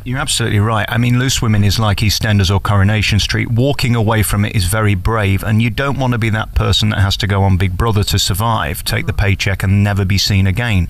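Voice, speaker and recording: Gravelly-edged male interviewer, lowish voice. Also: fairly even intonation, quick pace, hardly any pauses. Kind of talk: conversational celebrity interview.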